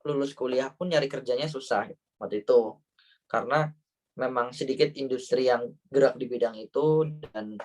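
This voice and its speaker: A man in his thirties with a Banyumas Ngapak accent, talking in an easygoing, meandering way, full of fillers and pious asides. His voice sits at an ordinary pitch and comes across as somewhat distant from the microphone. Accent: Banyumas (Ngapak) Javanese-Indonesian. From Indonesia. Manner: casual, with frequent fillers and "wallahu alam". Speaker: guest answering viewer questions. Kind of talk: relaxed talk show chat.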